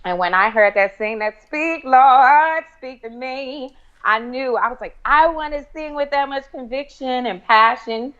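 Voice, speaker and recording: A woman's voice: sits high, a little slow, fairly bright tone, lo-fi sound. A woman guest on an interview show, a singer, speaking casually and expressively.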